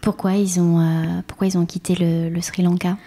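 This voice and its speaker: Female interviewer, casual and relaxed, lowish dark voice. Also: moderate pace. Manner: relaxed, short prompts and murmured assent. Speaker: podcast host.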